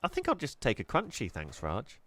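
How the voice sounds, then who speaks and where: A man's voice: medium pitch, wildly swooping pitch, a little fast, a bit bright, dry recording, pitched above the performer's own voice. A man voicing a nervous young schoolgirl who stammers and hesitates, in a dry recording. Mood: nervous girlish.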